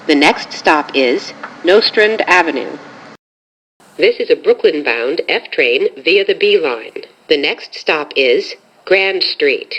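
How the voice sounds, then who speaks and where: An American woman announces train service in slow, formal, neatly separated phrases. Her voice sits a little low for a woman. It is a recorded announcement captured from a train loudspeaker, with light subway noise around it. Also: rough recording. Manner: measured, formal, clearly segmented phrases. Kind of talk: scripted automated transit announcement. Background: subway train ambience.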